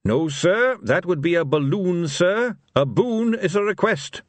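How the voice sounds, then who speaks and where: A man's voice: high voice, animated, unhurried, no echo, pitched above the performer's own voice. A man voicing a polite, earnest, literal-minded hero who speaks respectfully in a storybook reading.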